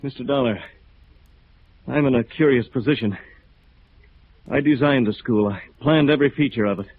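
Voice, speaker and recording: A man's voice: medium pitch, close to an everyday voice. Actor as battered, bitter man, tinny radio recording. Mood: weary, ironic. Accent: American. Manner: halting, weary, bitterly ironic. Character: battered, bitter, dazed local architect, a beaten man. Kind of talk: 1950s American radio drama acting. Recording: muffled narrow-band vintage radio recording.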